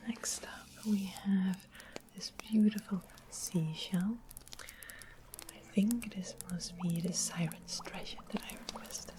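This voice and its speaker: A woman playing a sweet, innocent-seeming witch with a sinister edge, in a soft breathy whisper for an ASMR fantasy roleplay. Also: medium pitch, slow, natural voice, poor audio. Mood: sweet, curious. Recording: steady ambient sound and music behind. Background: music and ambience.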